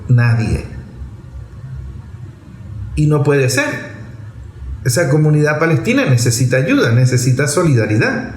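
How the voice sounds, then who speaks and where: A man with a Venezuelan accent giving an unscripted political monologue, speaking emphatically in short, repeated phrases. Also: fairly high pitch. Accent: Venezuelan Spanish. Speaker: political commentator and activist. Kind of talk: political monologue, spoken off the cuff. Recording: echoey room with faint background noise.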